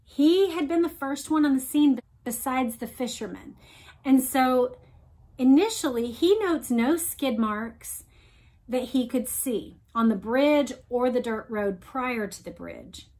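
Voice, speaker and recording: A woman's voice: high-pitched, slow, darkish tone. A woman hosting a read-along, reading aloud and adding emphatic explanations addressed directly to listeners. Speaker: host of a read-along discussion.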